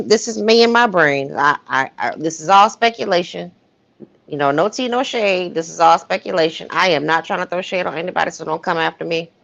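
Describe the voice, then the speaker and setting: A woman's voice: fairly low pitch, fairly bright tone. A woman giving opinionated, emphatic gossip commentary in a casual livestream chat. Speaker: co-host of a livestream. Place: livestream call. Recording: muffled lo-fi livestream audio.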